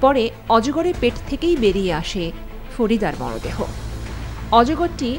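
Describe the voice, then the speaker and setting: A woman from Bangladesh reading a scripted news report in a steady, measured voice. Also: fairly high pitch. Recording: voice-over with music bed.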